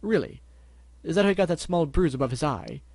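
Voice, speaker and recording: A prissy, dramatic storybook unicorn stallion, put on by a man with a raised, lively voice that swings higher and louder as he gushes and frets. He sounds pampered and easily flustered. The voice comes across dry and close. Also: a little fast, no room sound. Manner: theatrical, fussy and flustered.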